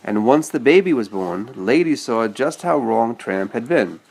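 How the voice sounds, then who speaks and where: A man's voice: mid-range voice, expressive, a little slow, gravelly, a little mellow, poor audio. A man reading a children's story aloud and chatting patiently with a child, explaining as he goes. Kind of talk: read-aloud storytelling with casual asides to a child.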